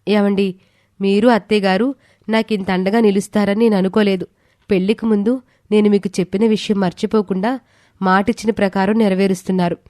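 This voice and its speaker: A woman's voice: mid-range voice, steady tone, brisk, a little mellow, no room sound, studio quality, lightly acted. A woman playing a polite, heartfelt young daughter-in-law in a story. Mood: grateful.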